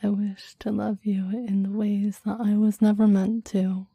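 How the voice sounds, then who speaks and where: A woman's voice: mid-range voice, little pitch movement, a little slow, creaky, dark tone, husky, dry recording. A woman playing a clingy, devoted demon lover in an intimate ASMR roleplay, whispering breathily and reverently in formal, old-fashioned phrasing, close to the microphone. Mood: yearning, tender. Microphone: close microphone, dry.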